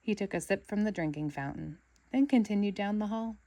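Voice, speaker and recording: A woman with a medium-pitched voice reads a children's storybook aloud. Her narration is expressive and dramatic, made for young listeners following along. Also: a little fast, dry recording. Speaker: storybook reader.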